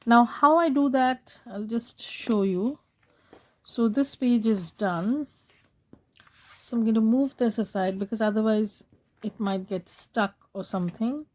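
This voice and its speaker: A woman walks through a journaling craft project in a slow, unhurried way, her voice hoarse as she is losing it. She speaks softly with a mellow, dark tone and often pauses to clear her throat. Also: on the high side. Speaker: craft channel host. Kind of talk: casual craft tutorial voice-over.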